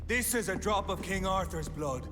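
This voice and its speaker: A hardened vampire hunter from a video game. His fairly high male voice is blunt, scornful and menacing, with music playing behind it. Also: lively intonation, normal speed. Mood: menacing, triumphant.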